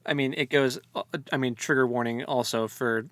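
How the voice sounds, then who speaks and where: Guy podcast cohost, medium pitch, laid out casual book talk, really dry sound. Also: fairly even intonation, moderate pace, fairly bright tone, no echo. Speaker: podcast co-host. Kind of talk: casual book discussion chat.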